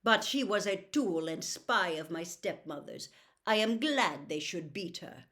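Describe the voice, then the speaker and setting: Lady playing a proud highborn girl in a storybook, haughty, formal, unhurried. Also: expressive, a bit bright. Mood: cool, curt. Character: haughty young noble girl, cool and proud.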